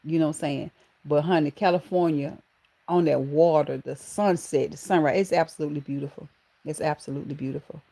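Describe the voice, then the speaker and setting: A woman's voice: deep voice, brisk, gravelly. A woman from Georgia with a Southern accent, hosting a casual livestream and greeting viewers by name, calling them baby and honey. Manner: affectionate, calls viewers baby and honey, animated exclamations. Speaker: livestream host.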